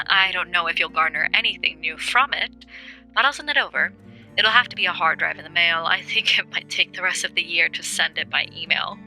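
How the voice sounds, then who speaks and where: A woman playing a brisk, wry, helpful investigative journalist in a naturalistic audio drama. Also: fairly low pitch, pushed and bright, soft background music, low-quality recording. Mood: wry, pleased.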